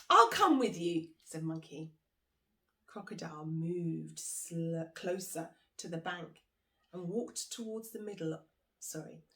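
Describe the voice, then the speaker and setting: A woman reading a children's folk tale aloud as a storyteller, with dramatic delivery aimed straight at the listener. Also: mid-range voice. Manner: dramatic, engaging the listener directly. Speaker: author and storyteller.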